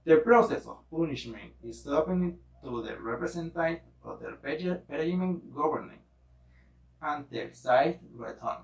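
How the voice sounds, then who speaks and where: Echoey, distant man reading a halting report. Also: fairly high pitch, animated, creaky. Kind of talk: scripted presentation read aloud. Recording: echoey room, distant microphone.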